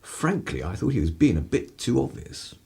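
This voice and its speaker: An actor playing a cynical, irreverent 1930s English broadcaster in a radio play, with a husky voice and sardonic, theatrical turns of phrase. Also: male voice, medium pitch, very animated, normal speed, dark tone, heavily acted. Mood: sly, confiding.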